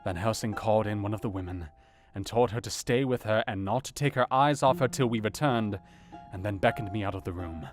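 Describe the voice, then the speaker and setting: An actor playing an earnest doctor, narrating a dramatised audiobook in a measured, formal and dramatic way. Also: male voice, lowish voice, soft background music, lightly acted. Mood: grave, measured. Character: earnest male doctor recounting a grim night.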